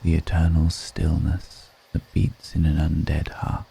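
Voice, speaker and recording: A man playing a brooding, melancholic undead vampire in an intimate ASMR roleplay, speaking in a measured, poetic murmur with pauses between phrases. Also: completely flat delivery, a little hiss or hum, full character voice. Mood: solemn, melancholic. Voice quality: very deep, dark, creaky murmur.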